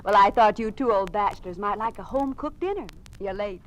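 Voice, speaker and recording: An actress playing a gentle, devoted fiancée in an old-time radio drama, speaking earnestly and with sympathy. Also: female voice, medium pitch, lively intonation, a little fast, slight background noise, close to an everyday voice, low-quality recording. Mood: hospitable.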